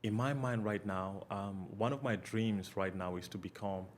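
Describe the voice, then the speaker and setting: A man's voice: on the low side, moderate pace, a little hiss or hum. A young man from Cameroon, a podcast guest, speaking earnestly and reflectively with many "you know" fillers. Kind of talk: casual interview talk.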